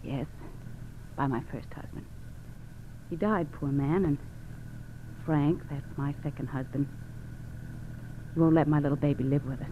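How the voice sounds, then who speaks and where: An actress playing a pleading, scheming gangster's wife who feigns helplessness in a 1940s radio crime drama. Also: female voice, low voice, a little slow, long pauses. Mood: confiding. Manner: pleading, confiding. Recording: tinny, hissy vintage radio recording.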